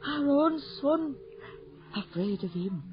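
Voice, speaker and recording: Anguished older mom in a radio play, really drawn-out words, pleading, muffled noisy tape. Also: female voice, high voice, sing-song, heavily acted. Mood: desperate pleading. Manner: pleading, anguished, breathless exclamations. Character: grieving, desperate mother yearning for her dead son. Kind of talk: radio drama acting. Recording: muffled, noisy 1980 radio drama recording.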